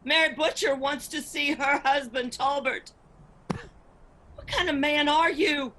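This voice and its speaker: A bold mountain mother in a radio drama. Her Appalachian voice is high and sharp, full of accusation and stubborn will, with noise around it. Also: measured pace, rough recording. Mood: indignant. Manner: forceful, pleading, accusing. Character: bold, indignant mountain mother confronting an enemy.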